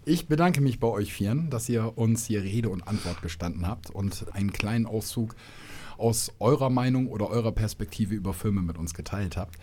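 A man hosting a school podcast, asking polite, measured questions in a small studio. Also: on the low side, dark tone, slight background noise.

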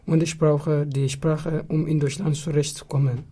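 A man's voice: a little high, slightly rough. A man whose first language is Tigrinya, giving careful interview answers in learner German. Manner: careful, steady, slightly halting.

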